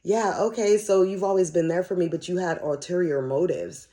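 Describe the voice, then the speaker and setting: Middle-aged american lady chatting on her podcast, mellow tone, voice a bit dry and hoarse, candid and slangy. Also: on the low side, normal speed. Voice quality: slightly raspy, dry voice. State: slightly hoarse from cheering. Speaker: podcast host. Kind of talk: casual solo podcast chat.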